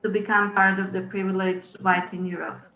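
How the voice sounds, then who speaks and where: A woman's voice: medium pitch, level tone, normal speed, sharp tone, roomy sound, low-quality recording. A woman, giving a hesitant webinar presentation as a curator over a video call. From Yugoslavia. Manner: hesitant, with frequent ums and uhs. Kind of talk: webinar presentation, partly read. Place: room at home.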